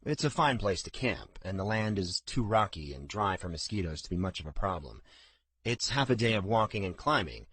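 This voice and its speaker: A man voicing a plain, straightforward middle-aged father who speaks in a measured way. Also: fairly low pitch, big pitch swings, fairly bright tone, breathy edge, no echo, heavily acted. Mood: wry.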